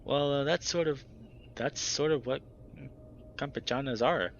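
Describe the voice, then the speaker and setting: Man voicing a crude, teasing young guy, pitched a bit above his own voice, dull lo-fi sound. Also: measured pace, slight background noise, close to an everyday voice.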